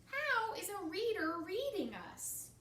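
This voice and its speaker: A woman voicing an anxious, excitable elephant from a children's picture book, with emphatic, exclaiming delivery. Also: extremely high pitch, slow pace, pitched above the performer's own voice, heavily acted. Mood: amazed. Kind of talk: children's storybook read aloud. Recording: roomy, distant mic, faint noise.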